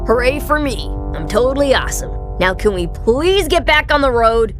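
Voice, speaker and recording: Cocky high-voiced boy hero. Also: very animated, moderate pace. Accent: American. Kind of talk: animated video game cutscene acting. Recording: loud music behind.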